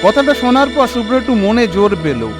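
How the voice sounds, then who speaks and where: A man narrating a scripted horror story in an expressive, suspenseful, dramatic way. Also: extremely high pitch, brisk, music in the background.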